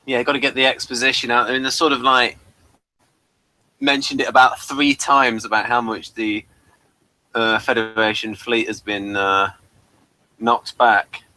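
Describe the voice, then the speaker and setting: A man with a bright, forward voice at an average pitch hosts an informal review chat. He speaks conversationally, steering the discussion as host. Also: level tone, a little slow, long pauses, low-quality recording.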